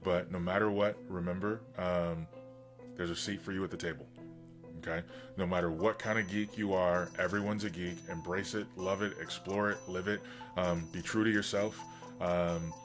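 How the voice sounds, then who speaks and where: A man hosting a livestream in casual, rambling, enthusiastic chat, his voice slightly hoarse from getting over being sick. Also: sits low. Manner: enthusiastic, informal geek talk. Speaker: livestream host.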